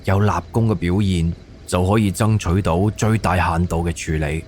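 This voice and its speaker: Man playing a low-voiced, commanding police captain. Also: steady tone, moderate pace, darkish tone, slight background noise, lightly acted. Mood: firm, persuasive. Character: authoritative middle-aged male police captain, firm and persuasive. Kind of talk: Cantonese audio drama acting.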